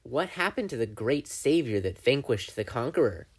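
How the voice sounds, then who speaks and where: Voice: medium pitch, big pitch swings, normal speed, slightly rough. A reader voicing a gleeful, menacing male triangle dream demon who taunts and mocks, in a fan fiction audiobook. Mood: mocking. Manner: taunting, theatrical, mocking.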